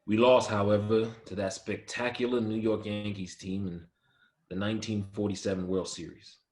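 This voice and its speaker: Man acting a low-voiced, proud ballplayer. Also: little pitch movement, moderate pace, a little mellow, echoey room, faint music behind, lightly acted. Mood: composed, reflective. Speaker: actor at a table read. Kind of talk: dramatic first-person script reading.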